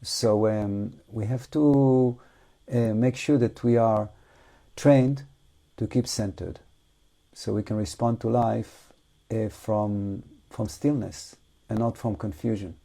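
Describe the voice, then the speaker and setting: A man with a fairly low, very soft and dark voice teaches meditation in non-native English. He speaks off the cuff in a reflective, halting way, leaving long pauses between short phrases. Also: fairly even intonation, creaky. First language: not English. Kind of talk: unscripted guided meditation talk.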